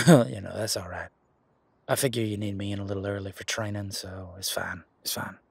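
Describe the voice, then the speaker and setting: A man playing a laid-back, responsible werewolf security guard in a casual roleplay audio, talking in a colloquial, halting, matter-of-fact way with a husky voice. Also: lowish voice, fairly even intonation, no room sound. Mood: agreeable, businesslike.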